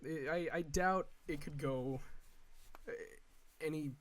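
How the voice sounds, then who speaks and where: A man in his early twenties chatting casually while playing a game, rambling with many fillers, restarts and swearing. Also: a little high. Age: early 20s. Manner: slow, drawn-out mock whining in quoted asides. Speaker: gaming video creator.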